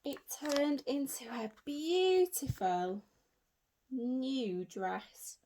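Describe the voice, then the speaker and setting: A woman reading a story aloud for young children as a teacher, in an animated way with simple repeated phrases and asides to her listeners. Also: sits high, very slow, slight background noise. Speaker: early years teacher.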